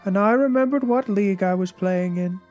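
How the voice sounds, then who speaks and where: A woman's voice: mid-range voice, moderate pace, darkish tone. A woman giving a dramatic reading of a personal journal. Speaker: reader in a dramatic reading of a fictional blog. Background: background music.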